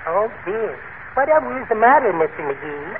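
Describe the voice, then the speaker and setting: An actor playing a meek, henpecked little poet in a timid, prim, genteel manner, in 1940s radio comedy style. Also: male voice, high voice, big pitch swings, normal speed. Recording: noisy, tinny old radio broadcast.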